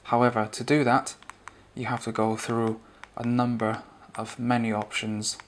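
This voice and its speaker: A man's voice: medium pitch, little pitch movement, measured pace, slight background noise. A man giving a conversational, explanatory spoken product review and demonstration.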